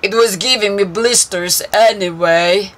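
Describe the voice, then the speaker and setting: A woman voicing a cheerful, kindly male giant in a storybook, loud, upbeat and reassuring. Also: medium pitch, unhurried, lightly acted. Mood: breezy.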